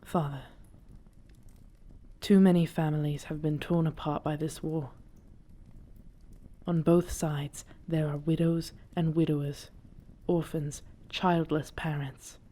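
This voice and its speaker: A woman's voice: low voice, flat delivery, slow, pauses often, mellow. A woman playing an earnest, devoted dragon princess and commander in an audio drama, speaking in solemn, formal phrases. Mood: pleading. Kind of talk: scripted audio roleplay drama.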